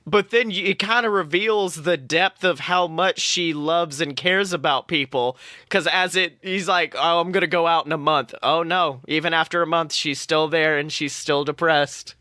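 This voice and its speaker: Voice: medium pitch, moderate pace, few pauses, sharp tone, no room sound, studio quality. A podcast co-host chatting casually and analytically about a cartoon, with some swearing.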